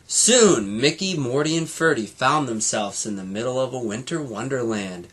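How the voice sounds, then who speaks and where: A man reading a children's storybook aloud in an animated way, like a teacher to young students. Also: mid-range voice, moderate pace, slightly rough.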